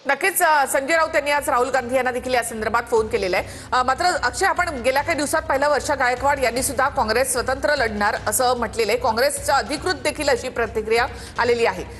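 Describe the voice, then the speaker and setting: A woman's voice: high voice, fast, hardly any pauses, fairly bright tone, long reverb tail, faint music behind. A woman anchors live TV news, presenting reports and putting questions to a correspondent.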